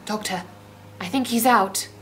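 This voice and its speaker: A woman's voice: mid-range voice, a little slow, noisy background, lightly acted. A woman playing a plucky, questioning adventurer's companion in a sci-fi audio drama, with an earnest, drily skeptical manner. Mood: dry, subdued.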